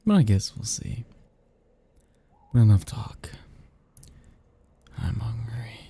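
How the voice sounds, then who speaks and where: A man's voice: fairly low pitch, dark tone, slight background noise. A man playing a melancholy, possessive vampire in an ASMR roleplay, murmuring intimately and often dropping into whispers. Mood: hungry, coaxing. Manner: slow, intimate, breathy murmuring that drops into whispers. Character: seductive, melancholy, possessive male vampire, self-loathing immortal predator.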